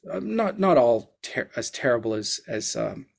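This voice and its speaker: A man's voice: medium pitch, sing-song, moderate pace, gravelly. A man, a podcast guest who reviews books, talking through ideas in a hesitant, thoughtful way with many fillers. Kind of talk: casual chat.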